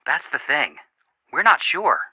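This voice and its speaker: A man's voice: fairly high pitch, expressive, unhurried, slightly rough, dry recording. An actor playing a goofy, playful young male detective in a video game, talking over a phone line. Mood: urgent, cautioning. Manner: playful, wisecracking.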